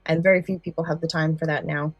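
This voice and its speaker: Lady cohosting a podcast, lowish voice, gushy and emphatic, dry sound w faint music. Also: steady tone, fast, husky, no room sound, lo-fi sound. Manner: emphatic, repeats words for stress. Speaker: podcast co-host. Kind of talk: casual enthusiastic chat.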